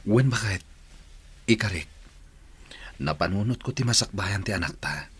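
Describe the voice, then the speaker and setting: A man's voice: lowish voice, lively intonation, moderate pace, husky. A man with an Ilocano accent acting a repentant husband and father in a radio drama, earnest and pleading in manner. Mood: earnest, remorseful.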